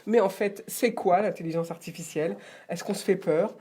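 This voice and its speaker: A French woman hosting a radio economics show, opening with lively rhetorical questions. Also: fairly low pitch, moderate pace, breathy edge.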